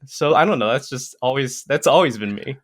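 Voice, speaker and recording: A man in his thirties, a podcast guest, talking casually with halting restarts, self-deprecating humor and frequent laughs. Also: medium pitch, lively intonation. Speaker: podcast guest, content creator. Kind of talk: casual chat.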